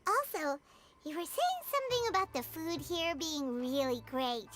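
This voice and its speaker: Game sidekick: greedy little fairy, shrill and sing-song. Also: female voice, lively intonation, moderate pace. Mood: cheeky. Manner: speaks of herself in third person, cheeky. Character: tiny chirpy female fairy companion, eager and greedy. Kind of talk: video game dialogue. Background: loud game music.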